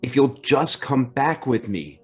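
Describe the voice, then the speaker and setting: A man's voice: medium pitch, big pitch swings, measured pace, soft background music. A man playing an earnest, insistent sweetheart who presses emotionally, in an old-time radio drama. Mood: earnest pleading.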